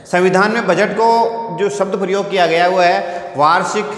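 A man's voice: high-pitched, fairly even intonation, moderate pace. A man teaching a class, repeating phrases and posing rhetorical questions. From India. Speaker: school teacher. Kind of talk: classroom lecture at a whiteboard. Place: indoor classroom. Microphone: clip-on lapel microphone. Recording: echoey, reverberant room.

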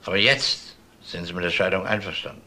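An actor playing a methodical, world-weary police detective in a crime drama, questioning in a measured, insistent way. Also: male voice, low-pitched, pushed and bright, a little hiss or hum. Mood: probing. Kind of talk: German TV crime drama dialogue.

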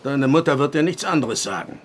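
An actor playing a stern, pious farmer father in a radio drama, gravelly and formal in speech. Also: mid-range voice, lively intonation, a little fast, a little hiss or hum, natural voice. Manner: formal, commanding.

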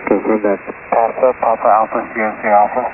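A man with a lowish voice works as an air traffic radio operator. He speaks in clipped procedural readbacks. The HF radio makes him thin and phone-like, with clear hiss around him. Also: flat delivery, quick pace.

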